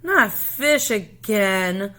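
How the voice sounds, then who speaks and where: A woman's voice: mid-range voice, lively intonation, sharp tone, heavily acted. A woman voicing a whiny, picky little boy in a storybook, stubborn about food, drawing out his complaints. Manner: drawn-out complaining.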